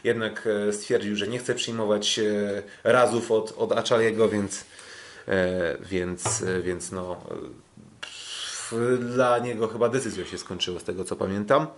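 A Polish man with a fairly low voice talks as a podcast co-host. He recaps fights casually, hesitating often, stretching his fillers and restarting phrases as he goes. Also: fairly even intonation, a little slow. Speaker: podcast co-host, kickboxing commentator.

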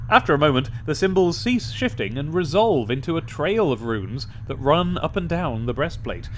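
Let's play host: young British man, higher-pitched, chatty, wry, close-miked. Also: very animated, normal speed, a little hiss or hum. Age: young adult. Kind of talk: casual game commentary and reading aloud. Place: small room at home or office, indoors. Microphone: desk microphone with pop filter, close.

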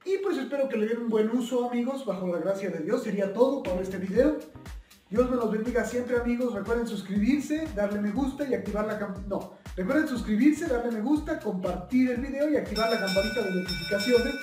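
Young Mexican male tutorial host, high-pitched voice, informal explaining style, recorded from a distance in a reverberant room. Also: fairly quick, a little mellow, faint music behind. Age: young adult. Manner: friendly direct address to viewers. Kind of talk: informal instructional explanation with practical examples. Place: small bare indoor room at a table. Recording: echoey bare room, distant microphone.

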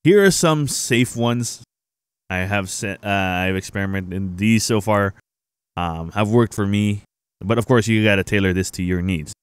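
A young man hosting a casual software tutorial, explaining things informally to viewers on a close microphone. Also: lowish voice, normal speed, clean tone, dry recording. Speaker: tutorial host, gaming streamer. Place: indoor home streaming setup. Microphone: close boom-arm microphone.

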